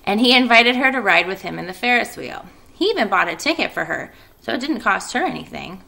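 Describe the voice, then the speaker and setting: A woman who sounds like a schoolteacher addressing young pupils. Her voice sits at a middle pitch and is bright and crisp-edged, with noticeable vocal fry. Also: expressive, fairly quick. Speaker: elementary school teacher. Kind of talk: children's read-aloud and casual class announcements.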